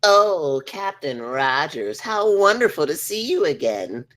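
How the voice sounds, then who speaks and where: A man's voice: fairly high pitch, very animated, unhurried, a bit bright. A man playing a crude, bossy, sycophantic spaceship officer with an abrasive, theatrical manner at a comedy table read. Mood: fawning. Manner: abrasive, theatrical, switching between barking and fawning.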